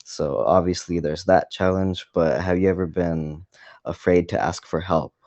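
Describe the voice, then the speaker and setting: A man's voice: low voice, steady tone, moderate pace, darkish tone, dry recording, lo-fi sound. A man interviewing, asking long, hesitant questions full of fillers.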